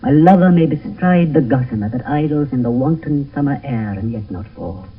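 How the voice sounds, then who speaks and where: A man's voice: medium pitch, normal speed, natural voice. A man playing a character in a Shakespeare tragedy on radio, speaking verse in a declamatory, poetic way. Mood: earnest. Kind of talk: 1970s radio Shakespeare acting. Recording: muffled phone-like vintage radio broadcast, distant.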